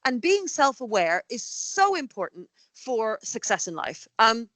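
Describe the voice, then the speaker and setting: A woman's voice: on the high side, measured pace, no echo. Talkative woman with a dry, close sound. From Canada. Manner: animated, talkative, many fillers and restarts. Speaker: school headteacher, guest. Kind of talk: conversational interview.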